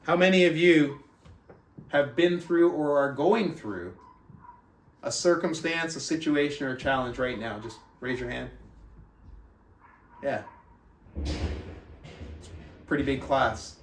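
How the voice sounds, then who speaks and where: A man's voice: on the high side, slight background noise. A man hosting a book club, reading aloud and giving motivational coaching talk, putting questions to his listeners. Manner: addresses listeners with rhetorical questions. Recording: roomy echo, distant from the mic.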